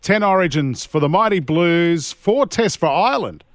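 An Australian man hosts a sports radio show, brisk and upbeat as he reads through the links. His voice sits a little high. The studio sound is dry and close. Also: animated, dry recording.

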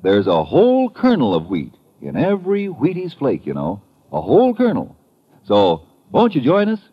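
American man, old-time radio ad, hugely animated, husky, folksy persuasion. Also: mid-range voice, moderate pace. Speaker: commercial pitchman. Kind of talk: 1950s radio advertisement. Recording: dull old radio recording.

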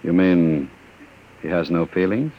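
An actor playing a suave, menacing gangster with a sardonic, commanding manner in an old radio drama. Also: male voice, low-pitched, measured pace. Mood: cool menace. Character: smooth-talking, menacing male gangster on the run. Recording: dull, muffled vintage radio recording.

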